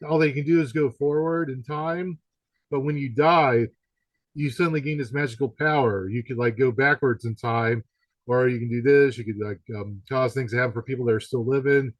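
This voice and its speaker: A man speaks as a podcast interviewer with an average-pitched voice in a very dry recording. He talks conversationally and wanders through his thoughts, often feeling his way toward a question. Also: no room sound, poor audio.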